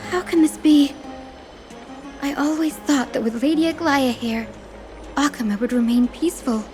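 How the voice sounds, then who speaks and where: A woman voicing a gentle, nurturing young healer in a fantasy video game, speaking in an encouraging way. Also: sits high, normal speed, faint music behind, natural voice. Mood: troubled.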